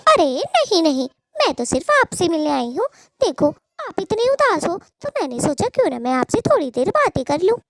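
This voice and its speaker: A woman voicing a scheming, quick-tempered female cartoon bird with a sharp-tongued, dramatic way of talking. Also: very high-pitched, very animated, no echo, studio quality, full character voice. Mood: coaxing. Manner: scolding, threatening, dramatic. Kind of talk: Hindi cartoon story dialogue.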